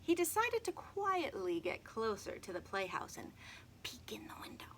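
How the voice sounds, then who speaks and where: Animated, high-voiced woman reading to children. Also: normal speed, whispered. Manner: expressive storytelling addressed to young listeners. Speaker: read-aloud host. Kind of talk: children's chapter book read aloud.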